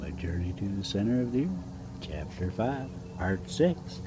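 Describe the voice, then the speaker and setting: A man reading a classic adventure novel aloud as an amateur storyteller, in a halting, phrase-by-phrase way. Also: fairly low pitch. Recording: steady background noise.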